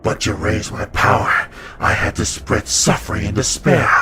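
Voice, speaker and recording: A man's voice: on the low side, animated, moderate pace, sharp tone, a little hiss or hum. A man playing an evil ancient winged serpent spirit in a cartoon comic dub, gloating and mocking with theatrical delivery. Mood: gloating, sinister.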